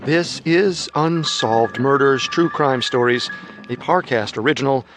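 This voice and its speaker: A man hosts a podcast with measured, announcer-like scripted narration. His voice sits in an ordinary middle register, with faint music playing underneath. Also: medium pitch. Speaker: podcast host.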